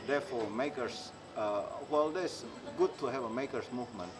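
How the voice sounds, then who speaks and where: A middle-aged man from Indonesia, speaking haltingly in an interview and often restarting as he searches for words. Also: medium pitch. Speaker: interviewee, maker. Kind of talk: casual interview talk. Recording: clear background noise, insects.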